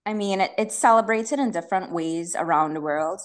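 A woman in her thirties speaks with a strong Trinidadian accent and a slightly low voice. She explains things in an easy, conversational way, often repeating a word as she gathers her thoughts. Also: a little fast. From Trinidad and Tobago. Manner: explanatory, relaxed, repeats words while thinking. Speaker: podcast guest. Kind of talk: casual interview chat.

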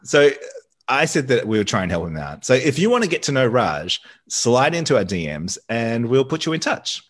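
A man's voice: mid-range voice, big pitch swings, normal speed. A man from Australia co-hosting a casual podcast, telling stories and teasing his co-host with dry humor.

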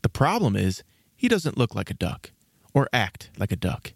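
A man's voice: mid-range voice, huge pitch swings, moderate pace, vocal fry, breathy edge, dry recording, studio quality. A man hosting and narrating a scripted children's storybook programme in a theatrical storytelling manner. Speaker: host and narrator.

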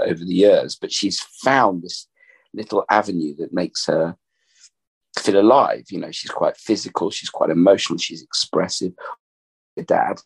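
Middle-aged male podcast host, low gravelly husky voice, reflective and rambling. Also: poor audio. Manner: thoughtful, rambling with restarts. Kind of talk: casual reflective chat. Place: upstairs room in family home.

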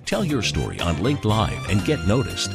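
A man reading a scripted show promo and disclaimer in a smooth, polished announcer style. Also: low voice, wildly swooping pitch, moderate pace. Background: loud guitar music.

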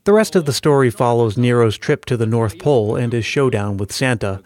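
A man's voice: mid-range voice, brisk, a little mellow. A man hosting a podcast, reading scripted narration in an addressing-the-listener style. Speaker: podcast host. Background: music bed.

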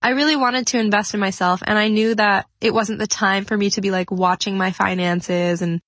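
A woman's voice: mid-range voice, fairly even intonation, moderate pace, a bit bright, dry recording. A woman hosting a solo podcast, talking earnestly and upbeat with a congested, stuffy voice and frequent fillers. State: congested from a cold. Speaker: podcast host.

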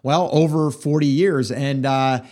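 A middle-aged man from upstate New York, hoarse from yelling, hosting a casual podcast in an upbeat, chatty way. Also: medium pitch, unhurried. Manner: upbeat, chatty, lively delivery. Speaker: podcast host. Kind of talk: casual solo podcast talk.

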